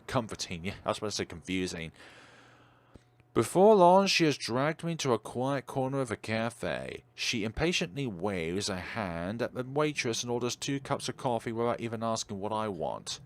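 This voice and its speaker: Male let's-play host narrating a visual novel, playful and animated, faint noise behind. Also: lowish voice. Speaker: gaming video host. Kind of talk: reading a visual novel aloud with asides.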